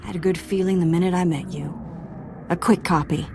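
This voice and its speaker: An actress playing a confident, folksy, wry undercity contact in a video game. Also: female voice, fairly low pitch, steady tone, fairly quick, noisy background, natural voice. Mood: wry, friendly.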